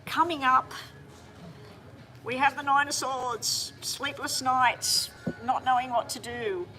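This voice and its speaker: Woman, tarot reader, slightly high voice, bright and sharp, chatty and hurried, faint music behind. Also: fairly even intonation. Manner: chatty, hurried, talking to viewers directly. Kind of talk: live-stream tarot reading.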